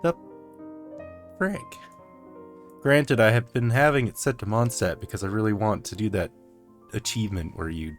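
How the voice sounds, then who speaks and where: A man's voice: lowish voice, normal speed, pauses often. Casual male gamer commentating over music. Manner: talks to the game, mutters playful asides. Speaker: gaming streamer. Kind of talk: casual game playthrough commentary. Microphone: own microphone over game audio. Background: game music.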